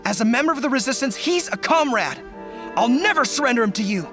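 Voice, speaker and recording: An actor playing a fervent, loyal young resistance general in a video game, earnest and impassioned. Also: male voice, extremely high pitch, lively intonation, fast talker, music in the background. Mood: defiant. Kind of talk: dramatic video game voice acting.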